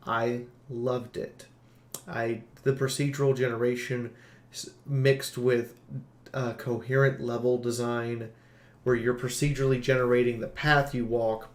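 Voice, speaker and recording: A man giving an unscripted game review in an informal, emphatic way, as a channel host talking to viewers. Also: mid-range voice. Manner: informal, emphatic, occasional swearing. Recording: roomy, echoing room sound.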